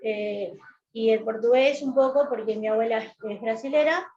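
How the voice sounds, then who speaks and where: A woman's voice: on the high side, normal speed, darkish tone, muffled recording. Argentine woman from Misiones, echoey call. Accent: Argentine Spanish. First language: Guarani. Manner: chiming in, adds remarks. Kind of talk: online class discussion.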